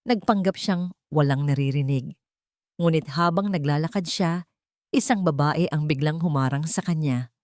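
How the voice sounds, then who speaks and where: A woman's voice: low-pitched, moderate pace, darkish tone, no echo, studio quality. A woman reading a scripted romantic drama as a storyteller, with dramatic narration.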